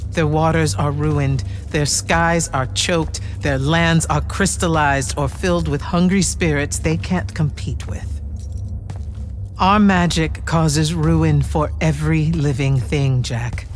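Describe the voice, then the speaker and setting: An actress playing a grave, commanding female leader of mages in a video game, speaking formally and deliberately. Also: low voice, fairly even intonation. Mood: grave, solemn. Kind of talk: cinematic video game acting. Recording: music clearly behind the voice.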